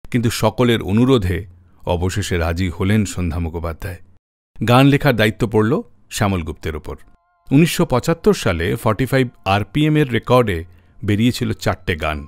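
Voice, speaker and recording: Low-pitched man hosting a radio show. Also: a little mellow, no room sound, studio quality. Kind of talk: scripted radio narration, storytelling about classic songs.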